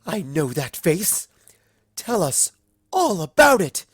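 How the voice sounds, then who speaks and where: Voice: mid-range voice, very animated, slow, slightly rough, dry recording, clean studio sound, pitched above the performer's own voice, heavily acted. The storyteller voicing a dramatic, refined cartoon pony lady who gushes and shrieks theatrically. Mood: squealing, scandalized.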